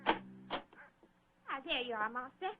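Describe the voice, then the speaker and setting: An actress playing a chirpy, deferential housemaid, chatty and sing-song, in an old radio drama. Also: female voice, a little high, wildly swooping pitch, very slow, noisy background, heavily acted. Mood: chirpy, puzzled. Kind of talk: old-time radio acting. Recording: tinny, band-limited old radio recording.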